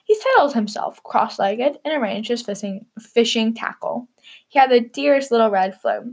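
A woman tells a children's story as narrator and host. Her voice sits a little high in pitch. She reads in a storybook style meant for young listeners. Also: animated, moderate pace, darkish tone.

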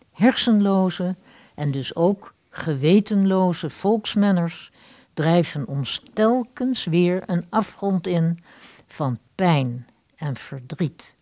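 A woman presents a radio drama with scripted narration. Her voice is slightly low and unhurried, delivered with dramatic deliberation in a dry recording. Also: dark tone, dry recording. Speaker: host of a radio play series.

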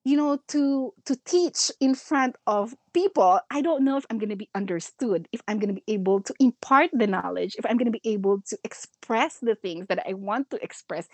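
Older filipino lady on a podcast, kinda high voice, dry close sound, lots of ums and restarts. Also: a little fast, hardly any pauses, no echo. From Philippines. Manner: reflective, many fillers and restarts, laughs easily. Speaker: podcast guest. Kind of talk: casual interview chat mixing English and Filipino.

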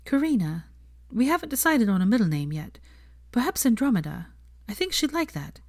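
A woman reading an audiobook voices a pregnant young woman, prim and earnest, with a soft, lilting delivery. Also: on the high side, big pitch swings, a little fast, no room sound, studio quality, voice pushed up. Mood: chatty. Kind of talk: acted audiobook dialogue.

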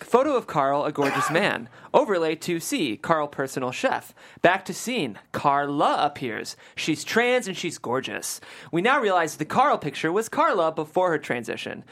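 Low-voiced woman narrating with animated delivery. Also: moderate pace. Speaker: stage-direction reader at a script table read. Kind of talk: scripted narration.

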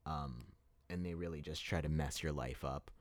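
A man's voice: very deep voice, moderate pace, no room sound, clean studio sound. A man hosting a podcast, delivering a heated opinion monologue with emphatic restarts. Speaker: podcast host.

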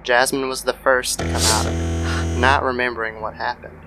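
A man's voice: medium pitch, animated, normal speed, rough recording. A man narrating a scripted horror story in the style of old-time radio. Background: background music.

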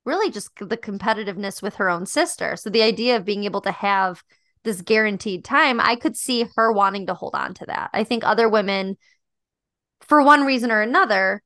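Woman with a self-described high voice. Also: moderate pace, a bit bright, no echo, studio quality. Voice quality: high-pitched voice. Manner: thoughtful, reflective, many "like" and "um" fillers. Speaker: podcast co-host. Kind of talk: casual chat about a dating show.

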